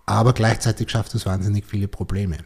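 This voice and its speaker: Low, husky man hosting. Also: level tone, a little fast, a little mellow. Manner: reflective, thinking aloud. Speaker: podcast host. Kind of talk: conversational interview.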